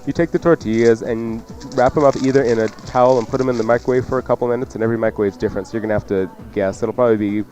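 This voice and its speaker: A man's voice: mid-range voice, fairly even intonation, rapid-fire, few pauses, darkish tone, highs cut off, soft background music. A man hosting a cooking show with casual, joking, teasing instructions.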